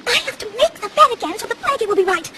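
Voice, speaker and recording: A woman's voice: very high voice, very animated, racing, very noisy. A woman voicing a fussy, refined young female pony in a cartoon, with a haughty, affected, theatrical manner. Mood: fussy, annoyed. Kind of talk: cartoon voice acting. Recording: music under the voice.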